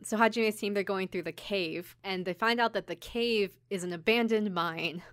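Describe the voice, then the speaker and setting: A woman co-hosting a podcast, casually retelling a story in an animated way with mock dialogue and giggles. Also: mid-range voice, normal speed, dry recording. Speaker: podcast host and episode recapper. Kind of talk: casual chat, recapping an anime episode.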